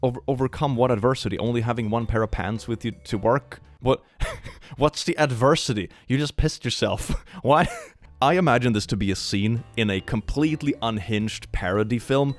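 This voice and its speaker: A man's voice: medium pitch, normal speed, soft background music. A man from Sweden doing comedic reaction commentary, reading posts aloud with mock drama and sarcastic, incredulous asides. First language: multilingual, not native English. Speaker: YouTube commentary host.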